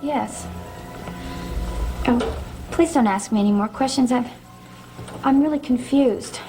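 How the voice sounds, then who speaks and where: An actress playing a troubled, confused young woman in a gothic soap opera, speaking haltingly and repeating herself. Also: high voice. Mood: frightened, confused. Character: troubled, confused young woman haunted by dreams and lost voices. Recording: vintage TV soundtrack under loud music.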